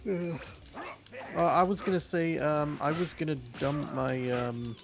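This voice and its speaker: A man's voice: a little high, fairly even intonation, takes it slowly, noisy background. A man with a slightly nasal voice chatting casually about video games on a new microphone that is not yet set up, rambling and often restarting his sentences. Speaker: co-host of a gaming stream. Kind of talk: casual gaming chat. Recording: background music clearly heard.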